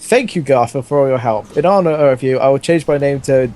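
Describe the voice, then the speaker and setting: A man's voice: mid-range voice, brisk, mellow. A man reading fan fiction aloud in a casual, comic way, amused and often breaking into laughter.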